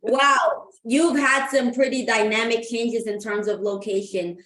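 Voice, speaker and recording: A woman's voice: on the high side, steady tone, measured pace, fairly bright tone. A woman from Guyana hosting an interview show, asking friendly questions in an upbeat way over a video call. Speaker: host. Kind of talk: interview host. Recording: echoey room.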